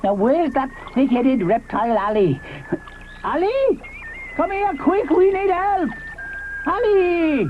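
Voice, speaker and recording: A man voicing a fussy, panicky male ichthyosaur with a shrill, flustered voice in a storybook. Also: expressive, takes it slowly, pauses often, heavily acted. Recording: tinny lo-fi with faint hiss.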